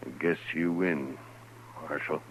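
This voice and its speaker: An actor playing an arrogant, threatening rich town boss in a 1950s radio Western, domineering and full of bluster. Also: male voice, extremely low pitch, fairly even intonation, measured pace, a little hiss or hum. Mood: smug, cold. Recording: dull, lo-fi 1950s radio recording.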